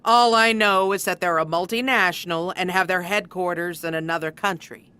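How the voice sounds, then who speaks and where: Stern CEO, bright forceful voice, lowish pitch, condescending, formal, office comedy. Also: female voice, fairly even intonation, moderate pace. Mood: formal announcing. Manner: crisp, commanding, formal. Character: stern, condescending, blunt female chief executive. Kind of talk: scripted workplace comedy acting.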